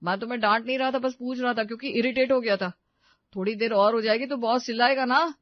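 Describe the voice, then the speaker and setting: महिला की आवाज़ में ऊँचे स्वर वाला चिढ़ा हुआ पति. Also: female voice, a little high, fairly even intonation, fast talker, no echo, pitched above the performer's own voice, lightly acted. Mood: irritated. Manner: exasperated, complaining. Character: irritated, baffled young husband. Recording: slightly dull, dry recording.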